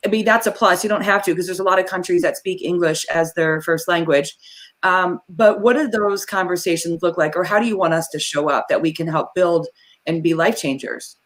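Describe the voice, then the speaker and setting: An energetic woman hosts a casual interview over a video call. Her voice sits a little low, and she talks in quick, chatty bursts with restarts. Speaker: host.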